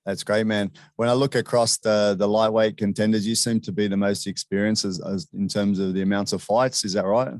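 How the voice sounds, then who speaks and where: A man's voice: fairly low pitch, steady tone, fairly quick, few pauses, a little mellow, no echo, clean studio sound. A man hosting a casual sports interview in a chummy, informal way. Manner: chummy, informal, often addressing guest as "man". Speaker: interviewer, show host.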